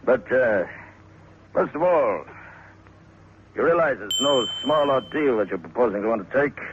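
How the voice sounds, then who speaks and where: Guy doing a businesslike careful manager, measured old radio drama delivery, faint noise. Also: mid-range voice, expressive, natural voice. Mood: measured warning. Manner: measured, formal, hesitant asides. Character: cautious, businesslike male manager of a wax museum. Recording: tinny, noisy old radio recording.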